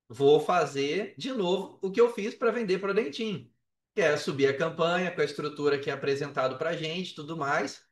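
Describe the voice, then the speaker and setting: A man's voice: a little high, a bit bright. A man with a Brazilian Portuguese accent telling his own story casually on a podcast, with slang, swearing and emphatic repetition. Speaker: podcast guest, affiliate marketer. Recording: roomy echo, distant from the mic.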